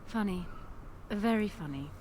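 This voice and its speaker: A woman's voice: mid-range voice, expressive, a little slow, warm tone. A woman playing an imperious, determined young queen in a fantasy video game, speaking formally and with command. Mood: urgent, guarded. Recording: game audio under clear noise.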